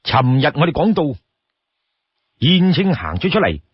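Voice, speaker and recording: A male storyteller narrates a classic novel with hugely varied intonation. His voice is husky and unhurried. He delivers the tale expressively, slipping into dialogue as he goes. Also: fairly high pitch, very animated, dry recording, lo-fi sound.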